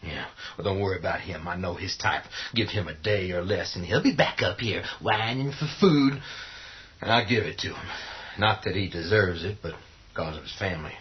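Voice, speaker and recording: An actor playing a tough, hot-tempered, scornful man in a horror radio drama, with gruff delivery. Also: deep voice, normal speed, highs cut off, natural voice. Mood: subdued, steady. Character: tough, hot-tempered, foul-mouthed man defending his territory.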